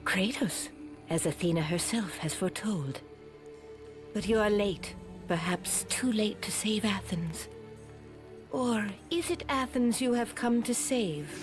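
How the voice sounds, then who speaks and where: A stern oracle voiced by an actress speaks over loud music in a video game. She is slow and deliberate at a middle pitch, commanding and full of mystical portent. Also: expressive, close to an everyday voice. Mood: reproachful. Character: stern, mystical female oracle of ancient Athens.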